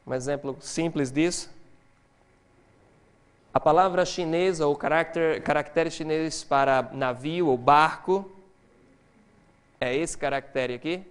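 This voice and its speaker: A middle-aged man preaching a lecture-style sermon, animated, swinging between quiet explanation and loud excitement. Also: fairly high pitch, a little slow, pauses often, smooth.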